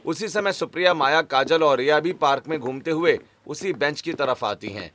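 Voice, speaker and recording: A man's voice: fairly high pitch, moderate pace, a bit bright, a little hiss or hum. A man reading scripted narration for a cartoon family story.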